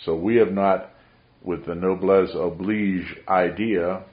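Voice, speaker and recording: A man's voice: sits low, steady tone, slow. A man preaching a sermon in an earnest, rambling, preacherly way, with a voice he says carries far. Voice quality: carrying voice. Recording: dull roomy recording.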